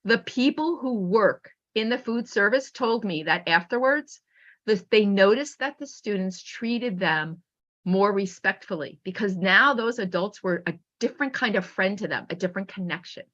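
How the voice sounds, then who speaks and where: A woman teacher giving an informal webinar talk with anecdotes in an animated manner, acting out remarks as she goes. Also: medium pitch, moderate pace, dull audio. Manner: animated, acts out pupils' remarks. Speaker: teacher and author presenting a webinar.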